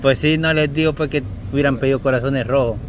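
A man's voice: medium pitch, steady tone, quick pace. A Salvadoran man joking and ribbing friends at an outdoor seaside restaurant at night. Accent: Salvadoran Spanish. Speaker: vlog group member. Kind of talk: casual chat among friends. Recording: crowd noise behind.

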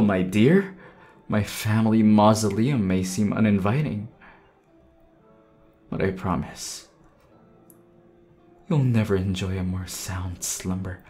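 A man's voice: fairly low pitch, a little mellow. A man playing an undead demon husband in an ASMR roleplay, obsessively loving and unhinged, theatrical in manner. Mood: menacing, obsessive. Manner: theatrical, swinging from tender murmurs to desperate demands. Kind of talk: ASMR roleplay audio acting.

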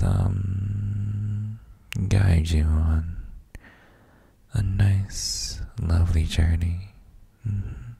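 Deep, gravelly, whispery boyfriend played by a man. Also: no echo, exaggerated voice. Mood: tender, concerned. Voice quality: very soft, breathy, gravelly, often near whisper. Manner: slow, hesitant, murmured reassurances with hums. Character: gentle, doting boyfriend soothing partner to sleep. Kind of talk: ASMR roleplay, guided sleep meditation.

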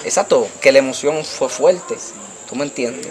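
A young Dominican man with a Puerto Rican accent telling his story in a street interview, animated and checking the listener follows him, into a handheld mic outdoors at night. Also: mid-range voice, normal speed, a crowd in the background. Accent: Puerto Rican Spanish. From Dominican Republic. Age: young adult. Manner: animated, gesturing, repeats "tú me entiendes". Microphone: handheld mic held by interviewer.

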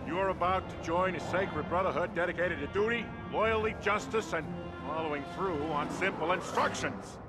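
An actor playing a bossy, self-important vigilante leader in a video game, briefing in a pompous, mock-military way. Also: male voice, high-pitched, normal speed, music in the background, close to an everyday voice. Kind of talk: video game cutscene acting.

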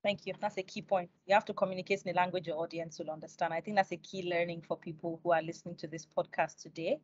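A woman's voice: lowish voice, fairly even intonation, quick pace. A young woman interviewing in an encouraging, conversational way as a podcast host. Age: young adult. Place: indoors on a video call. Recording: dry but dull video call.

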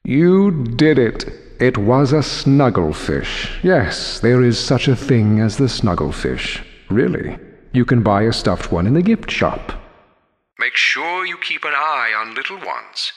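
An actor playing an ominous, mockingly dramatic aquarium exhibit announcer in a video game, with theatrical mystery. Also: male voice, medium pitch, big pitch swings, a little slow. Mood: ominous, wry. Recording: long cavernous hall reverb.

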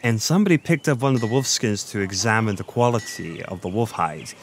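A male actor plays a flirty Irish innkeeper and former merchant with a lowish voice. He rambles and boasts in an intimate audio roleplay, pausing to think as he tells his tale. Outdoor ambience with birdsong sits clearly behind him. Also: sing-song, a little hiss or hum. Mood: amused storytelling. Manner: flirty, chatty, meandering storyteller with thoughtful pauses.

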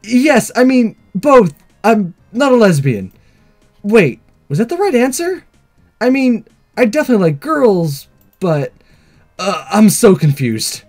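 A man's voice: sits high, big pitch swings, measured pace, a little mellow, soft background music, voice pushed up, heavily acted. A man voicing a flustered, anxious teenage boy posing as a schoolgirl in a visual novel, nervous and stammering. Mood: flustered, panicked.

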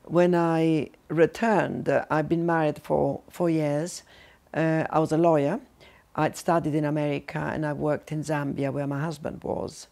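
Older Italian woman, gravelly voice. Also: low-pitched, flat delivery, mellow. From Sicily, Italy. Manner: measured, reflective storytelling. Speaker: interviewee. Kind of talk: documentary interview. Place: living room with bookshelves. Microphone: lapel mic.

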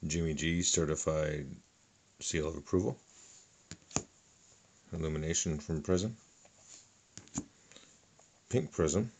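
A middle-aged man calmly listing sports cards one by one at a table, with a lot of fillers. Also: rumbling low, slow, pauses often, a little hiss or hum. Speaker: card collector. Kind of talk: casual card unboxing narration. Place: indoors at a table.

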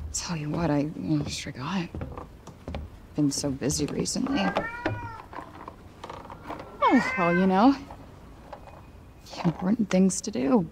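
A low-voiced young American woman with plenty of gravelly fry. She is streetwise, sardonic and self-mocking, talking to herself informally over clear background ambience. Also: background noise. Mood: playful, affectionate. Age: young adult. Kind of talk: video game character acting, musing to herself.

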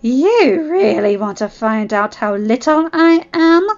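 A woman's voice: high-pitched, moderate pace, a bit bright, highs cut off, faint music behind, voice pushed up. Woman playing a tough, bossy young female leader. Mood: angry, challenging. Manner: firm, commanding.

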